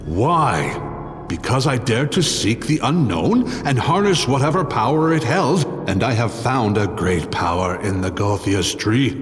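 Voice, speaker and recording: A man's voice: medium pitch, animated, a little slow, close to an everyday voice. An actor playing a mad, haughty outcast druid villain in a fantasy audio drama, pompous and mocking in his theatrical delivery. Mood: proud, fanatical. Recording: long reverb, music underneath.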